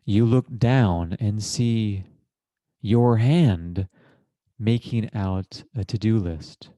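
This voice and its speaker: A man with a fairly low, dark, relaxed voice guides a meditation at an extremely slow pace. His phrasing is formal and literary, delivered deliberately in short pieces with long pauses. The sound is close and dry. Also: dry recording.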